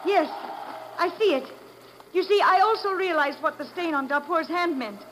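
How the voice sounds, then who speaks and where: Very high-voiced earnest wife, old radio. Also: female voice, moderate pace, a bit bright. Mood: troubled, earnest. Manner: earnest, formal phrasing. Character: earnest wife, troubled by suspicions of her husband. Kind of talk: 1950s radio drama acting. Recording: noisy old radio recording.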